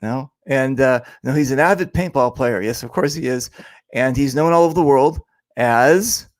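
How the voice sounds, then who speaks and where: A man's voice: mid-range voice, moderate pace, no echo. A man hosting a casual interview show, teasing his guest and rambling with lots of fillers. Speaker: podcast host. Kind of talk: casual joking interview chat.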